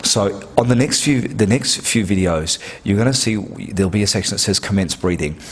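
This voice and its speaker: A man's voice: fairly low pitch, brisk, a little hiss or hum. A man who works at a health clinic gives an earnest, direct breathing lesson, coaching step by step and often repeating himself. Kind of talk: instructional talk to camera.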